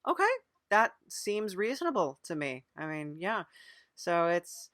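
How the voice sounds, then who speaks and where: A woman's voice: fairly low pitch, sing-song, unhurried, a bit bright, dry recording, clean studio sound. A woman hosting an improvised mock interview, agreeable and a little hesitant, playing it straight in casual conversation. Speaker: podcast interviewer.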